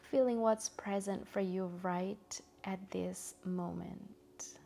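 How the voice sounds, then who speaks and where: A woman guiding a meditation in a gentle, soothing, soft-spoken way with long pauses. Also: mid-range voice, steady tone, vocal fry, a little hiss or hum. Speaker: meditation guide. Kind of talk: guided meditation narration.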